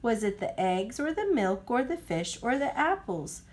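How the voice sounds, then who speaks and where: A woman's voice: fairly high pitch, sing-song, measured pace. A woman voicing a cheerful, simple, good-hearted male train driver in a folksy, easygoing way for a picture book. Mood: eager, curious. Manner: folksy, easygoing, puzzled questioning.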